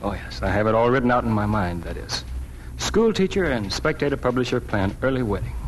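An actor playing an amiable, wry small-town newspaper editor in a 1940s radio drama. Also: male voice, mid-range voice, lively intonation, brisk, natural voice. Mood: wry, courting. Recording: noisy old 1940s radio recording.

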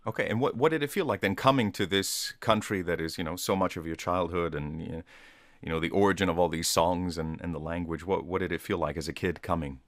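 A man hosting a radio interview, reading an introduction and asking measured questions. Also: sits low, no echo, studio quality. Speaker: radio host.

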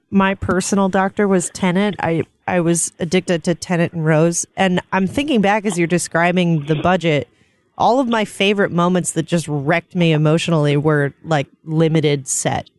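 A woman's voice: lowish voice, moderate pace, darkish tone. A woman speaks as a podcast co-host in a casual, effusive fan's manner. Her voice sounds dry and close, without room echo. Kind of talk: casual chat, reacting to guest.

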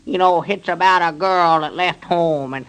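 A simple, soft-hearted old backwoods fellow acted by a man, pitched high, rambling along in homespun rural Southern speech. It is vintage radio comedy from the 1930s, naive and sentimental in manner. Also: normal speed, clear, resonant tone, natural voice.

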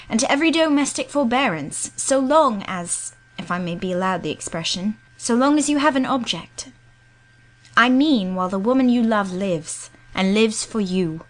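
A woman voicing a thoughtful, gentle young woman in an audiobook, measured and earnest. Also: mid-range voice, close to an everyday voice, poor audio. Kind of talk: audiobook character reading.

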